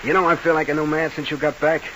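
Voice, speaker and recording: A man's voice: on the high side, flat delivery, quick pace, pushed and bright, low-quality recording. An actor playing a nervy, scheming nightclub boss in a 1940s radio crime drama, with slangy tough-guy talk. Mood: excitable. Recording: hissy old radio recording.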